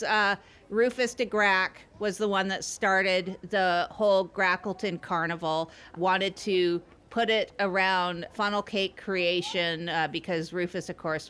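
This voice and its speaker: A woman's voice: medium pitch, fairly bright tone, clear, resonant tone. A woman co-hosting an improvised comedy podcast, chatting in an indulgent, placating, matter-of-fact way. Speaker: podcast host on trial.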